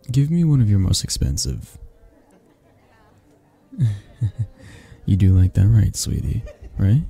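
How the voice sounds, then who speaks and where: A man playing a possessive, flirtatious mafia boss in a romantic audio roleplay, husky and gravelly, speaking with intimate condescension and long pauses. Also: fairly low pitch, dark tone, exaggerated voice. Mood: teasing, flirtatious. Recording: music behind the voice. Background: background music.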